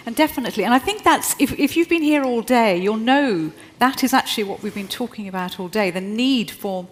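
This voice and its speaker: A woman's voice: a little high, expressive, fairly quick, slight background noise. Woman moderator in a reverberant hall. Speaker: event moderator. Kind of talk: live onstage interview. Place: event hall.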